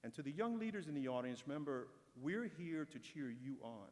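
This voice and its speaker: A man's voice: a little high, sing-song. A man giving a prepared, encouraging speech as a corporate speaker at an awards ceremony. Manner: measured, encouraging delivery. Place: large event hall. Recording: long hall reverb.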